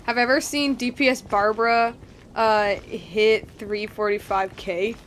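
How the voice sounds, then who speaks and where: A woman's voice: medium pitch, steady tone, measured pace, fairly bright tone, slight background noise. A woman game streamer giving casual playthrough commentary in a rambling, joking way, often repeating her phrases.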